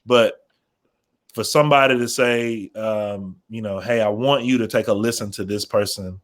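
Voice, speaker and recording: A man's voice: lowish voice, moderate pace. An American man speaking as a radio program manager on a panel, explaining informally and often restarting phrases as he thinks.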